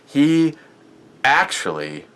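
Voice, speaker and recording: A man's voice: on the high side, big pitch swings, extremely slow, fairly bright tone, smooth. A man casually reviewing a beer on his vlog, rambling and chatty with lots of fillers and restarts.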